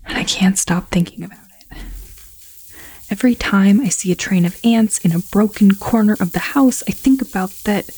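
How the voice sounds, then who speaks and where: A woman's voice: medium pitch, moderate pace, mellow, lightly acted, poor audio. A woman playing a troubled, restless young woman in a found-footage audio drama, confiding hesitantly, often breathily. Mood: haunted, anxious. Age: young adult.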